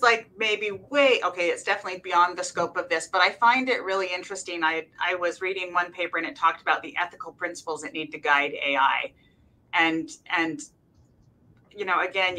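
A woman's voice: lowish voice, pushed and bright, poor audio. A woman on a faculty panel, talking informally and casually over a video call. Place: office, on a group video call.

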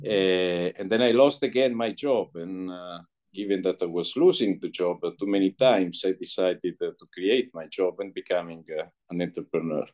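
A middle-aged man from Italy speaks in a very low voice, stopping often with fillers as he gathers his thoughts. He talks over a laptop mic on a video call from an indoor room. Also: darkish tone. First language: Italian. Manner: halting, many filler pauses. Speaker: interview guest, entrepreneur. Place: indoor room, light walls.

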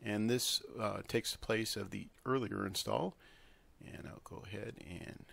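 A man with a fairly low voice and plenty of vocal fry presents a software tutorial. He talks informally and instructs step by step, in the casual way of someone recording a screen walkthrough at his computer. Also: fairly even intonation, a little slow.

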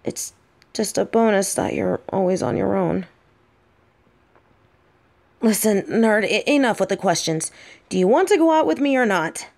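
A woman's voice: medium pitch, expressive, gravelly, a little mellow. Woman doing a bossy tsundere bully girl for audio roleplay, possessive, secretly smitten, takes her time with the words. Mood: possessive, flustered. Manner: bossy, taunting, flustered halting delivery. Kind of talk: acted audio roleplay addressed to the listener.

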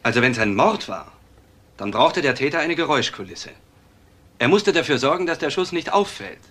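An actor playing a matter-of-fact police detective in a crime drama, laying out clues assertively. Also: male voice, on the high side, animated, normal speed, a bit bright. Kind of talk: German TV crime drama acting.

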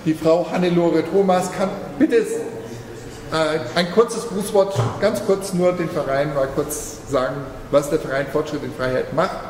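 A man's voice: on the high side, a little slow, darkish tone. Man, panel moderator, informal, echoey room, faint noise. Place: large conference room indoors.

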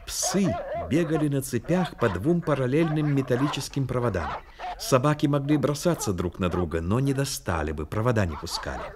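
A man with a mid-pitched voice narrates an old radio drama. His storytelling is measured and intense, and there is clear noise behind him. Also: expressive. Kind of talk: dramatic scripted narration for a Soviet radio play. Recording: old radio recording with noisy effects behind.

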